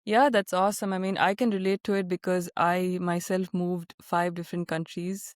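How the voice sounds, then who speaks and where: A woman hosting a podcast, asking questions in a relaxed way with plenty of fillers. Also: on the low side, normal speed, no room sound, studio quality. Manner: warm interviewer, many fillers, relates to the guest. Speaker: podcast host. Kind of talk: casual interview chat.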